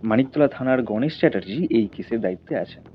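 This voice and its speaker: A man's voice: mid-range voice, animated, quick pace, lo-fi sound, a little hiss or hum. A man narrating a scripted audio story in a dramatic storytelling style.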